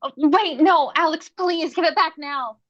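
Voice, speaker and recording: A woman's voice: high-pitched, unhurried, a bit bright. A woman playing an anxious, lovestruck young goddess, flustered and pleading, in a staged play reading. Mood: alarmed, pleading. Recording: dull, lo-fi microphone sound.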